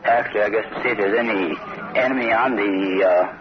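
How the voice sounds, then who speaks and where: A man's voice: fairly low pitch, a little fast. A man, an army sergeant, answering field interview questions in a hesitant, plain-spoken way. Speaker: army sergeant, patrol leader. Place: outdoors at night in a war zone. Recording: dull, very noisy 1950s field recording.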